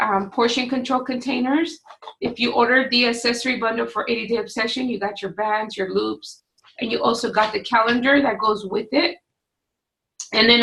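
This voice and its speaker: Woman, forties, fitness coach, slightly hoarse, firm, no excuses, webcam, small home office. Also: on the high side, fairly bright tone, low-quality recording. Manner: firm, no-excuses, pep-talk delivery. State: slightly hoarse, losing her voice. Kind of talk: direct-to-camera coaching talk. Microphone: webcam at desk distance.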